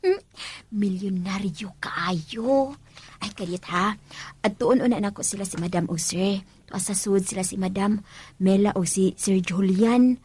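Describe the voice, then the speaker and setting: An actress with a Cebuano Filipino accent playing a brisk, helpful female friend in a radio drama, speaking colloquially. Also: mid-range voice, a little mellow, a little hiss or hum, natural voice. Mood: brisk, excited. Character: brisk, helpful woman bringing a friend to a rich household. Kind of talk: Philippine radio drama acting.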